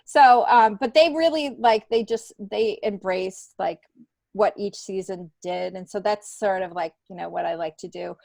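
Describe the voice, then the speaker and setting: A woman's voice: mid-range voice, a little slow, creaky, darkish tone, no room sound. A woman in her fifties talking casually and candidly as a podcast guest, in a loose, rambling, joking way. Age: 50s. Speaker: podcast guest, stand-up comedian.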